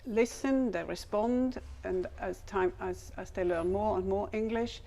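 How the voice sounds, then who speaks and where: German-accented woman in her 50s, explains things slowly and carefully, mid-pitched voice. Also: warm tone. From Switzerland. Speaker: materials developer. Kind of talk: scripted interview answers.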